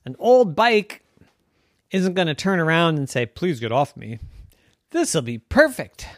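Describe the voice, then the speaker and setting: A bedtime storyteller voicing Wanda, a clumsy, cheerful would-be girl knight who squeals in high dismay. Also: very animated, measured pace, dry recording, studio quality. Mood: excitable.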